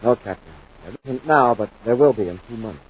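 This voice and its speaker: A man's voice: medium pitch, expressive, a little fast, close to an everyday voice. An American man playing a decisive, authoritative space patrol commander in 1950s radio adventure style, with clipped delivery. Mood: calm, assured. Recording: dull, noisy 1950s radio recording.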